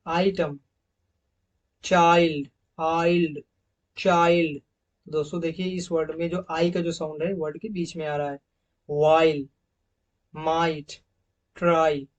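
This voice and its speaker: A man walks through phonetics slides in a classroom-lecture manner. He stretches out and repeats each example word at a louder, emphatic level, then drops back to quick explanations. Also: a little high, dull audio. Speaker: English teacher. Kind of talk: slide-based phonetics lesson.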